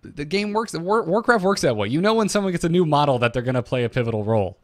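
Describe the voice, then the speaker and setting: A man's voice: on the high side, lively intonation, quick pace, no room sound. A man co-hosting a podcast in casual, conversational chat. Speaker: podcast co-host. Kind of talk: casual chat about game lore.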